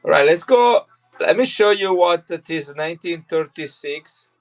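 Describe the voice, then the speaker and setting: A man's voice: fairly high pitch, lively intonation, moderate pace, faint music behind. Chatty man selling coins. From Italy. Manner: chatty and rambling, with frequent restarts. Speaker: coin seller on a live stream. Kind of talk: casual live sales chat.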